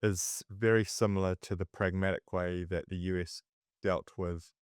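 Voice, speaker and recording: A man's voice: low-pitched, little pitch movement, moderate pace, clean tone, dry recording. A man talks markets casually, often restarting his sentences. The sound is dry and close, with no room around it. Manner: conversational, restarts mid-sentence. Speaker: investment commentator.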